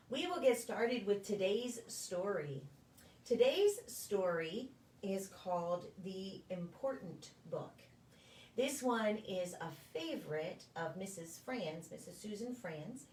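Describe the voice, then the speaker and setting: A woman reading a children's picture book aloud in a deliberate, sing-song storytelling way for preschoolers. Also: mid-range voice, darkish tone, a little hiss or hum. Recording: echoey room, distant microphone.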